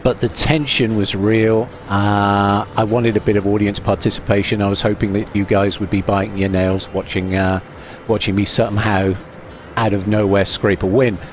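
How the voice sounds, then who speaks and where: Low-voiced man narrating gameplay. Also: little pitch movement, moderate pace, slight background noise. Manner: chatty, wry and self-deprecating, thinks aloud while playing. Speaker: gaming video host. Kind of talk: live gameplay commentary.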